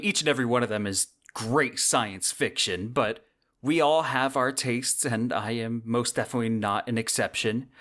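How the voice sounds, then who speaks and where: A man's voice: mid-range voice, fairly bright tone. Male podcast host giving conversational solo commentary. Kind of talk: scripted solo podcast commentary on science fiction books.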